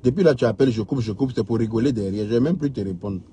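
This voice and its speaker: A man's voice: mid-range voice, racing, warm tone, husky. A man hosting a heated live stream, scolding and insistent, repeating his points over and over. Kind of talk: heated live talk with callers.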